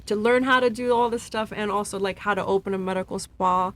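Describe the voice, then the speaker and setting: A woman's voice: medium pitch, steady tone, brisk, a bit bright. A woman in her forties from New York, a brash podcast guest who talks up herself and swears casually. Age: 40s. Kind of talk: casual panel chat.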